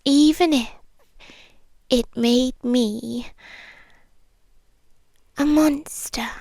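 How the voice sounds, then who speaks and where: A British woman voicing a possessive monster girlfriend in a slow ASMR roleplay, hushed and deliberate, slipping into whispers. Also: high-pitched, fairly even intonation, dry recording, exaggerated voice. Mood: cold, possessive. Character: possessive yandere monster girlfriend, confessing her obsession.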